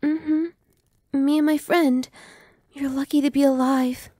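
A young woman voicing a gentle female vampire who speaks softly and slowly, sometimes whispering. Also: high voice, fairly even intonation, no room sound. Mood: soothing. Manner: soft, slow and reassuring, dropping to whispers. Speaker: voice actress. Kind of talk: ASMR roleplay.

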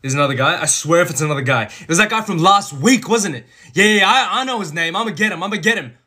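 A man's voice: medium pitch, animated, fairly quick, slightly rough. A man playing a crime boss boyfriend in a roleplay skit, doting on his girlfriend with baby talk and menacing toward everyone else. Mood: furious, threatening. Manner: switches between sugary baby talk and cold threats.

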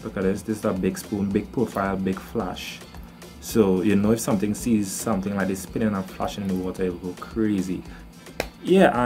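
Low-voiced Tobagonian man explaining fishing gear. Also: darkish tone. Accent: Trinidad and Tobago. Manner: chatty, explains with "right?" tags and fillers. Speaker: fishing vlogger. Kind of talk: casual gear walkthrough to camera. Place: indoors by wooden doors.

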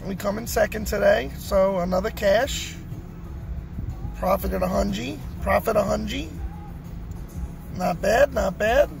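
A man's voice: high-pitched, a little slow, vocal fry, pauses often, music in the background. A middle-aged man giving a casual recap to camera, repeating his phrases for emphasis, recorded on a phone inside a car. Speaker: bowler and vlogger. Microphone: phone at arm's length.

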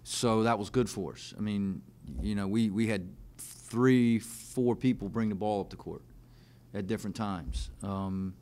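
A man in his thirties, a basketball coach answering questions after a game, speaking in a measured, plain way with frequent fillers into a desk mic in a press room. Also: lowish voice, steady tone, a little mellow, a little hiss or hum. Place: press room in front of a team backdrop. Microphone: desk mic on a stand.

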